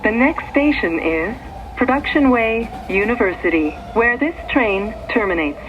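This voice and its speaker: A woman reading scripted transit announcements in a measured, clearly segmented way, as a train announcer. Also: fairly high pitch. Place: played over speakers inside a train. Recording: tinny band-limited speaker, noisy train interior. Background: train and vehicle noise.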